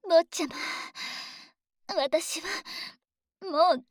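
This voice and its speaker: A woman's voice: very high voice, very slow, no room sound, exaggerated voice. A woman voicing a devoted, possessive dragon maid in an ASMR drama, speaking close to the mic in polite, coaxing, intimate words that often slip into whispers. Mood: flustered, yearning. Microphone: close microphone.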